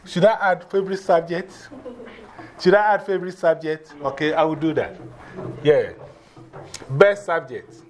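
A man's voice: fairly high pitch, measured pace, pauses often. A man with a Ghanaian accent giving informal classroom instruction, directing people and repeating his instructions. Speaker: teacher of a drumming and English class.

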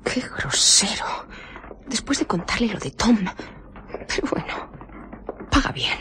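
An actress playing a nosy, fretful village innkeeper in a radio drama, deferential and given to muttering to herself. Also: female voice, a little high, sing-song, a little slow, in a whisper. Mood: offended muttering.

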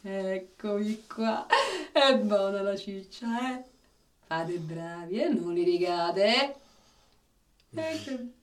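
An actress playing a doting carer of stray cats, cooing to them in a radio play. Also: female voice, medium pitch, lively intonation, takes it slowly, long pauses, recorded from a distance. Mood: cooing affection.

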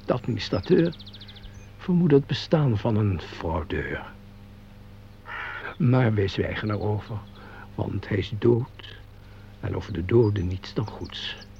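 An actor playing a sly, fawning, crooked bookkeeper in a radio play, with formal, unctuous, theatrical delivery. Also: male voice, on the low side, gravelly, mellow, full character voice. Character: sly, fawning, embezzling bookkeeper, secret lover. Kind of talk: Dutch radio play acting. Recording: dull, noisy radio play recording with hum.

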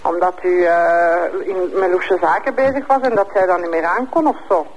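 A woman with a Flemish accent, asking probing questions haltingly in the role of an interrogator. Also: on the low side, husky, dull audio.

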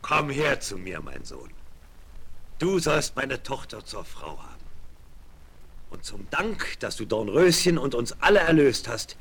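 A man's voice: medium pitch, very animated, measured pace, a bit bright, breathy edge, exaggerated voice. An actor playing a grateful, fatherly king in a fairy-tale radio play, with solemn declamation. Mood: joyful, solemn.

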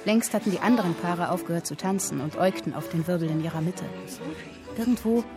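A woman acting as storyteller, narrating a historical tale in a radio play with deliberate, vivid phrasing. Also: lowish voice, natural voice. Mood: vivid, dramatic. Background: music.

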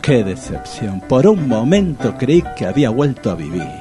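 A man's voice: mid-range voice, big pitch swings, normal speed, over music, close to an everyday voice. An actor with a Rioplatense Argentine accent playing a naive, nervous, wary man in a comic radio play, stammering and blurting out awkward remarks. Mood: earnest, blurting.

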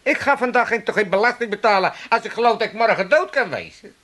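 A man's voice: high voice, expressive, quick pace, a bit bright. An older man with an Amsterdam accent playing a cantankerous, blunt old father in a comedy radio play. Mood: argumentative. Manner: blunt, grumbling, exclamatory.

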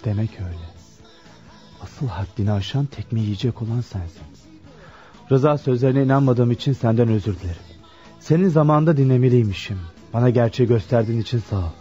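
A man's voice: mid-range voice, fairly quick, warm tone, lightly acted. A man acting a sincere, impulsive, lovestruck young working-class man in a Turkish radio drama. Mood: bitter, resolved. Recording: muffled lo-fi radio recording, music behind.

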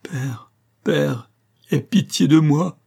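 A man's voice: fairly low pitch, brisk, mellow, breathy edge. A man voicing a proud, passionate young married woman pleading with her father in an audiobook story. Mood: tearful begging. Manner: imperious and emphatic, swinging to pleading.

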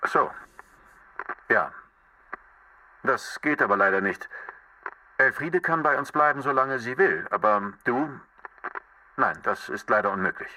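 A man's voice: mid-range voice, lively intonation, a little slow, pauses often, bright, forceful tone, no echo, full character voice. An actor playing a cold, evasive bourgeois man on the telephone, condescending and politely dismissive, in a radio drama. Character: cold, evasive bourgeois brother-in-law, coolly self-serving. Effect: telephone filter. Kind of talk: German radio play acting. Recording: harsh, thin telephone line, distant.

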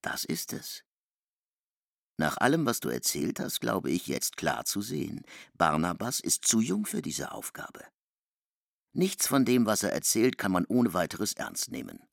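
An actor playing a self-assured, probing man in a literary radio play, reasoning in a deliberate, analytical and emphatic way with a gravelly voice. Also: on the low side, big pitch swings, dry recording, studio quality, full character voice. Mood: insistent, decisive. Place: dry studio.

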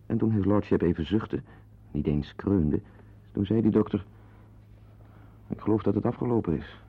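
An actor playing a bitter, neurotic, hectoring husband in a radio play, digressive and sardonic, prone to outbursts. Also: male voice, fairly low pitch, normal speed, husky. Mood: wry storytelling. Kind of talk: radio play monologue and dialogue acting. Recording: muffled lo-fi old radio play tape.

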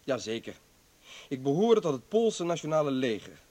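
Bitter ex-prisoner doctor in a radio play, sarcastic n indignant, highish voice that jumps around a lot. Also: male voice, big pitch swings, moderate pace, a little mellow. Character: bitter, defiant male doctor, former political prisoner. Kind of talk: dramatic radio play acting.

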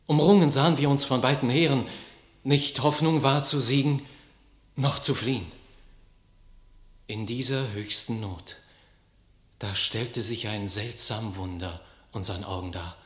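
An actor playing a knight vividly recounting a miracle in battle in a classic radio play, in an awed, declamatory manner. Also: male voice, medium pitch, measured pace, pauses often, lightly acted. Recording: roomy studio radio recording.

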